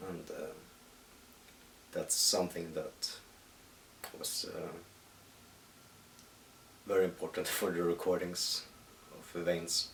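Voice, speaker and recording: A Swedish man with a deep, rough voice muses aloud in English, stopping often to find his words. The mic sits a short way off, so the room echoes around him. A faint hiss runs underneath. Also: little pitch movement, fairly bright tone, far from the mic. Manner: halting, thoughtful, many pauses. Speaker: musician. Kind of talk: reflective solo monologue. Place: at home in the countryside.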